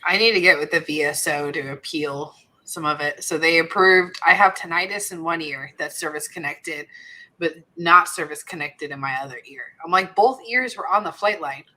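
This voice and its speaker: A woman co-hosting a casual podcast, a military veteran with a cold, congested and losing her voice, chatty and quick to laugh. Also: sits low, bright, forceful tone, poor audio. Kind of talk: casual chat.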